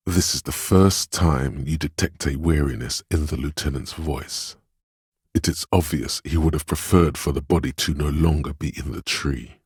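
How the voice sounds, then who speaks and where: A super low, really raspy inner voice from a video game, gentle n perceptive, hushed solemn delivery, dry close sound. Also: male voice, no echo, exaggerated voice. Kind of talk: scripted game narration, intimate inner monologue.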